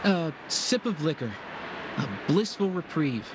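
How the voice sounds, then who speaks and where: A man's voice: a little high, expressive, unhurried, background noise. A man playing a tipsy, rambling, giggly drunkard with poetic pretensions in a video game. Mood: tipsy, wistful. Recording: game music behind.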